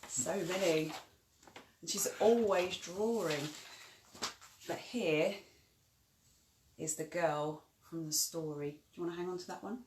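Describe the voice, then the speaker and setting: A woman's voice: on the low side, unhurried, pauses often. A woman informally introducing a children's story as co-presenter. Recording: echoey, distant mic, faint noise, clicks.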